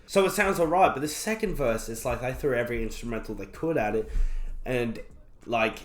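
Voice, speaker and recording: A young man with a croaky voice, recovering from being sick, casually reviewing an album and rambling with lots of fillers. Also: fairly high pitch. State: croaky voice after illness. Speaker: music reviewer. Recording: roomy echo.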